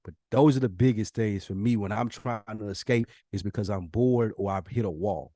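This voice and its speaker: A man speaks as a podcast co-host in an easygoing conversational style. His voice sits a little low, and he talks candidly in loose, slangy phrases. The recording is dry, with very little room around him. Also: normal speed, dark tone, no room sound. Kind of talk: casual chat.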